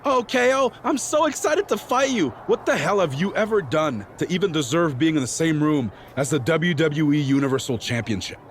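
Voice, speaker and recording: An actor playing a scornful, sarcastic rival pro wrestler in a sports game, sneering and mocking. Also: male voice, on the high side, animated, moderate pace, few pauses, slight background noise, close to an everyday voice. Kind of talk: cinematic sports game dialogue.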